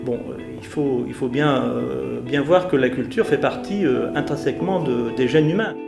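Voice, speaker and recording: Male, middle-aged, halting interview delivery, echoey room with guitar music underneath. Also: medium pitch, gravelly. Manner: halting, reflective, searching for words. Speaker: cultural youth worker. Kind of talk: documentary interview, unscripted. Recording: roomy echo, music underneath.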